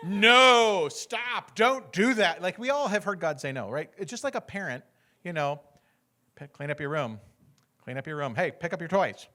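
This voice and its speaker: A man's voice: high-pitched, expressive, normal speed, bright, forceful tone. A middle-aged American man telling a faith testimony as a story, acting out the people in it and laughing now and then. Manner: acts out other people's lines, often laughing. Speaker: guest speaker sharing a testimony.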